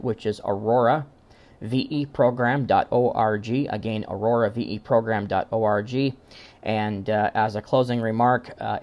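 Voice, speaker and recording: Low-pitched man giving an informal presentation. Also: a little mellow. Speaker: conference presenter, amateur radio exam team founder.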